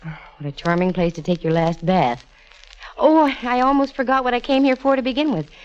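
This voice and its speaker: A woman's voice: a little high, brisk, darkish tone, close to an everyday voice. An actress playing a wry, flirtatious female private detective with an American accent, breezy and teasing in 1940s radio style. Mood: playful. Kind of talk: 1940s radio acting and narration. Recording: muffled old radio recording.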